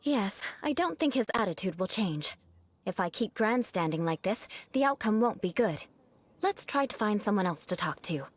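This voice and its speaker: A woman's voice: medium pitch, lively intonation, moderate pace, natural voice. A woman playing a proud young noblewoman knight with grandiose, formal aristocratic speech in an anime video game. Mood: composed.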